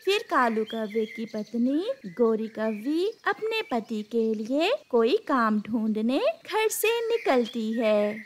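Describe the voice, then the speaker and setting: A woman's voice: fairly high pitch, big pitch swings, slow, hardly any pauses, a little hiss or hum. A woman reading scripted narration for a children's moral fable as its storyteller.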